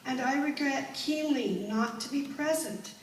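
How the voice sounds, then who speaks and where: A woman's voice: high voice, a little slow. A woman playing a devoted, protective teacher in a stage drama, formally reading a letter aloud. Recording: echoey room, distant mic, faint noise.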